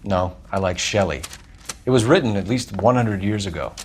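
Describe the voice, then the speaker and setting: An actor playing a clever young police detective in a game, with a clipped, formal, authoritative manner. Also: male voice, fairly low pitch, normal speed, slight background noise, natural voice. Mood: composed, thoughtful. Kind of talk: dramatic game dialogue.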